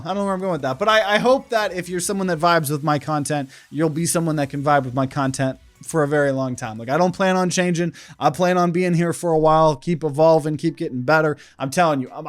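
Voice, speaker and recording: Twenties guy, a bit higher pitched, rambles casually to camera with plenty of ums and restarts. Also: brisk, hardly any pauses. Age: 20s. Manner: rambling, many fillers and restarts. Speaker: content creator. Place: indoor room. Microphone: camera at desk.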